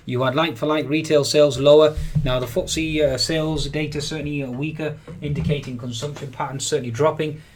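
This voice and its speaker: A man's voice: fairly high pitch, fairly even intonation, a little fast, hardly any pauses, a little hiss or hum. A man giving daily market commentary over trading charts, hesitant with many fillers and frequent repeats. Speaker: market analyst. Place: voice-over on screen recording.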